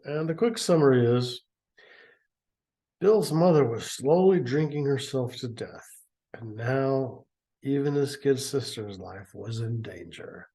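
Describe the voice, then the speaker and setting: A man reads a story aloud and keeps interrupting himself with dry, sarcastic asides. His voice is mellow and low-toned, and he takes his time. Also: lively intonation, takes it slowly. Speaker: reader and commentator. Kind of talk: sarcastic commentary on a book reading.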